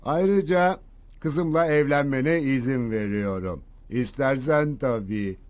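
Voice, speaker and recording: A man's voice: mid-range voice, takes it slowly, darkish tone, lightly acted. An actor dubbing a fussy, befuddled old king in a cartoon, mumbling and humming to himself. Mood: solemn. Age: older. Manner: mumbling hmms, chuckling. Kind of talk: Turkish cartoon dub.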